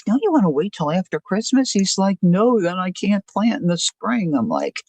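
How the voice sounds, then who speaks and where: A woman's voice: medium pitch, big pitch swings, normal speed, darkish tone, no echo. An older woman hosting a livestream, chatting casually and rambling through a story. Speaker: livestream host. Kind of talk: casual chat.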